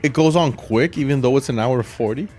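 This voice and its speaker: A man's voice: medium pitch, a little fast, darkish tone, soft background music. A man co-hosting a podcast in an informal, conversational way. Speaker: podcast co-host. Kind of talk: casual chat.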